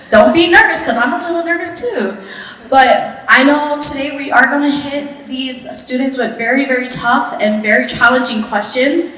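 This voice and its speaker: Upbeat woman moderating a panel. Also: a little high. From Hawaii. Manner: upbeat, animated. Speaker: panel moderator, airline pilot. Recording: distant mic in echoey hall.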